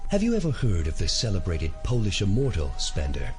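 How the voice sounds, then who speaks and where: A man playing a coolly calculating elder schemer in an audio drama, telling stories in a measured, pedantic way. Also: deep voice, huge pitch swings, darkish tone, faint music behind. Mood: cool, deliberate.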